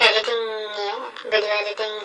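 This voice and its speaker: Male tutorial host through a voice-changing filter, monotone delivery, noticeably noisy played-back audio. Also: sits high, fairly quick, recorded from a distance. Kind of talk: informal tutorial introduction.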